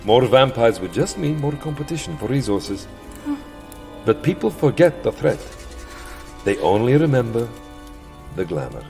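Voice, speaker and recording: An actor playing a world-weary vampire master of a castle in a comedy audio drama, theatrical and musing, given to petty grumbling. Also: male voice, mid-range voice, big pitch swings, moderate pace, gravelly, pauses often, darkish tone, soft background music. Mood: grand, musing.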